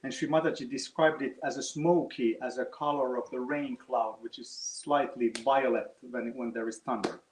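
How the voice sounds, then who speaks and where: A man's voice: medium pitch, steady tone, moderate pace, few pauses, echoey room, slight background noise, low-quality recording. A man hosting a live online quiz, chatting to the players and explaining the answers at length between questions. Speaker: quiz host.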